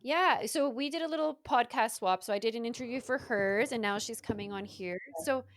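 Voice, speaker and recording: A woman's voice: fairly high pitch, brisk, no room sound. A woman hosting a podcast, talking in a casual interview style. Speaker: podcast host.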